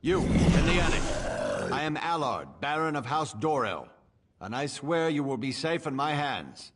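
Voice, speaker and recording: An actor playing a loyal, reassuring baron in a fantasy video game, speaking in a formal, declamatory way. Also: male voice, fairly high pitch, sing-song, unhurried, heavy background noise. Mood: commanding, reassuring. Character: noble baron, loyal and reassuring male ally.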